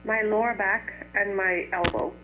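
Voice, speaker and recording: A woman's voice: mid-range voice, steady tone, unhurried. A woman of about forty, calling a show for advice and giving short, plain answers. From Trinidad. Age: 40s. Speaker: caller seeking advice. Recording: phone call with electrical hum.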